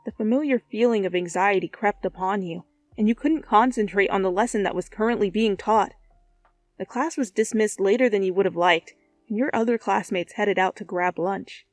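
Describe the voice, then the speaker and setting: A woman reads a story to the listener in expressive second-person narration. Her voice is recorded dry and sits at a middling pitch. Music plays faintly behind her. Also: normal speed, dry recording. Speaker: fan fiction reader.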